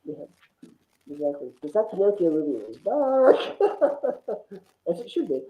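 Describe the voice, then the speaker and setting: A woman's voice: lowish voice, very animated, takes it slowly, warm tone. A woman talking casually as a film podcast panellist, over a roomy, phone-like connection. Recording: roomy, phone-like narrow sound.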